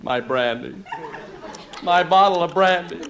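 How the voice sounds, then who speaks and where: An actor playing an excitable, exasperated American husband who stammers and exclaims, in 1950s radio comedy style. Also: male voice, on the high side, unhurried, creaky, over a noisy crowd. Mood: weary, insistent. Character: excitable, exasperated husband and father moving house. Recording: noisy vintage 1950s radio recording.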